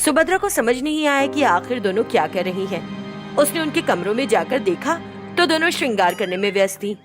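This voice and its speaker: Female storyteller, high voice, scripted narration, music in the background. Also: animated, a little fast.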